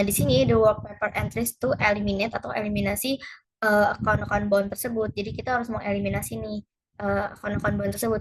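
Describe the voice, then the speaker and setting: Young woman, mid-pitched and slightly husky, for a hesitant, informal tutorial read. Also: low-quality recording. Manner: hesitant, conversational, mixes in English terms. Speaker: presenter explaining an accounting lesson.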